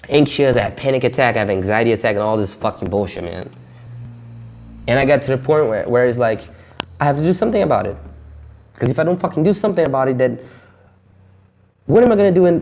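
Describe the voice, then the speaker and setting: A man's voice: medium pitch, darkish tone. Young man venting with a lot of swearing. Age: 20s. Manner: frank and heavy swearing, nervous laughs. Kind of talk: candid personal monologue.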